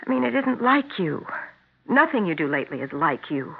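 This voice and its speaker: An actress playing a worried, bewildered wife in a 1970s radio drama, questioning and exasperated. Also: female voice, lowish voice, sing-song, moderate pace, gravelly, breathy edge, heavily acted. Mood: concerned. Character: worried, bewildered wife confronting her troubled husband. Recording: narrow tinny old radio broadcast.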